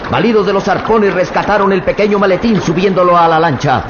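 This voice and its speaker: A man's voice: sits high, fairly quick. A man narrating a radio serial in a tense, suspense-building way. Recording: echoey, muffled old radio with loud hiss.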